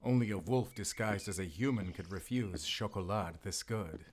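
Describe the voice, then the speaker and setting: A man's voice: fairly low pitch, moderate pace, slight background noise, close to an everyday voice. A man playing a wry, confident wolf hunter in an audio roleplay, talking in a teasing, conversational way to a companion. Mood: playful, teasing. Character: wry, playful male German wolf hunter, confident, protective. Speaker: voice actor. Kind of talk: cinematic audio roleplay acting.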